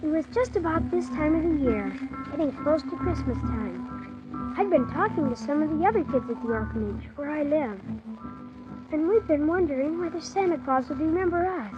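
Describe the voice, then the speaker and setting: An eager little orphan boy, tells his adventure in a high, lively voice that rises and dips with earnest wonder. It is a children's radio serial from the 1940s, muffled with a faint hiss. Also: moderate pace, loud music behind the voice. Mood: earnest, wistful. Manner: earnest, wonder-filled, childlike storytelling with exclamations.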